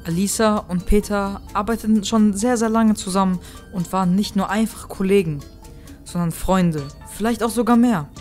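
A woman's voice: medium pitch, normal speed, darkish tone, soft background music. A woman reading scripted narration as the narrator of an audio play.

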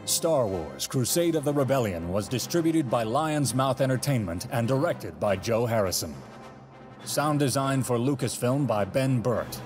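A man with a mid-pitched voice reads as the narrator and announcer of an audio drama. The delivery is scripted and dramatic, with orchestral music playing behind him. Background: orchestral film music.

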